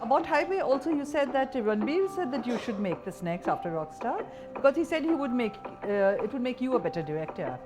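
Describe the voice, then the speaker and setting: A woman's voice: fairly high pitch, sing-song, a little fast, over music. A woman with an Indian accent hosting an interview, asking questions in a conversational way. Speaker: interviewer, host.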